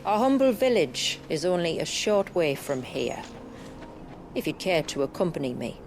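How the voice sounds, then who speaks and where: A proud woman who leads a hidden village, her voice on the low side. She speaks with composed, formal assurance. Soft music plays beneath the dramatic game scene. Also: sing-song, moderate pace. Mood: welcoming. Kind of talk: dramatic video game cutscene acting.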